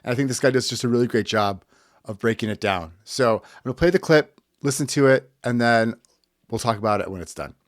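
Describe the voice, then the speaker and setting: A man's voice: mid-range voice, a little fast, no room sound, studio quality. A man from the United States hosting a podcast, giving angry, blunt political commentary and swearing freely. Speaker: podcast host.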